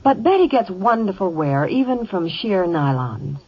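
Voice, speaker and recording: A woman's voice: medium pitch, very animated, moderate pace, slightly rough. A woman giving scripted advertising banter as a radio reporter in 1950 style. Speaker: radio reporter and sponsor pitchwoman. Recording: muffled vintage 1950 radio broadcast.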